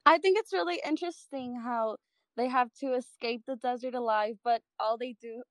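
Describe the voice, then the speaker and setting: A woman's voice: fairly high pitch, normal speed, fairly bright tone, no room sound. A woman speaking casually as a guest on a podcast.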